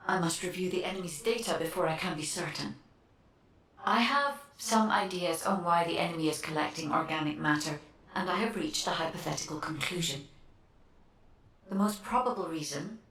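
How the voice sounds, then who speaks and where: A woman voicing a calm, analytical guide in a video game, methodical, precise and formal. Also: lowish voice, echoey room, far from the mic, rough recording.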